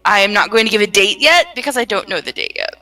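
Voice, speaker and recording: Bright-voiced woman rambling, a bit distant. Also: mid-range voice, animated, fast, soft background music. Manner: rambling, trailing off and circling back. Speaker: game developer, writer and voice actor. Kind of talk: casual chat about her own creative projects.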